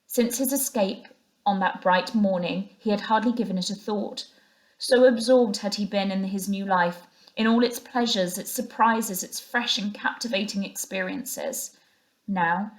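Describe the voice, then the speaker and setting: A woman's voice: mid-range voice, poor audio. Woman reading a storybook aloud.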